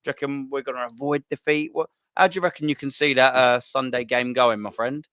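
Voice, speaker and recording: A man's voice: medium pitch, fairly even intonation, fairly quick, fairly bright tone, no room sound, clean studio sound. A man hosting a football call-in show, chatting casually with lots of slang, swearing and fillers. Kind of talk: casual live-stream chat.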